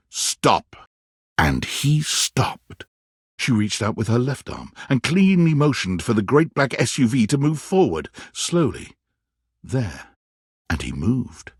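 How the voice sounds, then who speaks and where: Male game narrator, theatrical storytelling, animated pitch, slightly raspy tone, dry close recording. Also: no echo. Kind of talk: scripted dramatic second-person narration.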